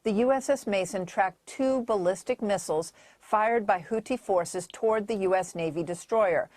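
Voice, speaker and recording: A woman TV news correspondent delivers a crisp, measured report. Her voice is mid-pitched. The sound is dry, with no room echo. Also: a little mellow.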